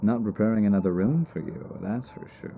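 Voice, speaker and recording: A man's voice: lowish voice, brisk, gravelly, phone-quality sound, slight background noise. A man playing a cocky, teasing supervillain stuck as a hospital patient in an audio roleplay, talking with smug mock politeness. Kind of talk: ASMR roleplay audio drama.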